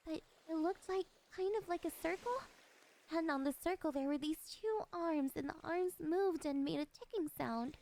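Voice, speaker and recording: A woman playing a lonely, possessive siren in an ASMR roleplay, speaking in a wistful, gently coaxing and politely menacing way. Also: very high-pitched, normal speed. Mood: fascinated. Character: lonely, possessive female siren, curious and soft-spoken. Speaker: voice actress.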